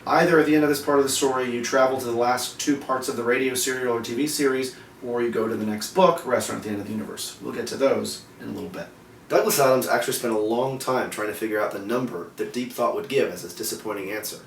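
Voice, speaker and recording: A man's voice: medium pitch, fast, gravelly. Man presenting in a roomy, distant recording. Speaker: co-host of a geek culture explainer show. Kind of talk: scripted presenting with casual opinion. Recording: roomy, distant mic, faint background noise.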